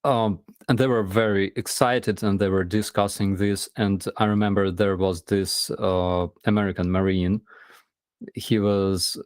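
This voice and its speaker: A man telling a story in casual chat, halting with many ums and restarts. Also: deep voice, fairly even intonation, a little mellow, smooth, no echo, studio quality.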